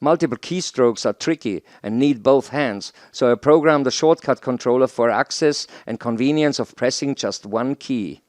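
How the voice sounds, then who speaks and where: A middle-aged man gives a formal, deliberate scripted presentation into a headset mic in a small studio. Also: medium pitch, dry recording. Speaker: presenter, audio educator. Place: small home studio, indoors.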